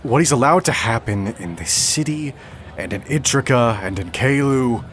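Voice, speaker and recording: An actor playing an earnest, impatient young rebel in an audio drama, speaking in a curt, insistent way. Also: male voice, medium pitch, expressive, normal speed, fairly bright tone, slight background noise, natural voice. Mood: indignant, resolute.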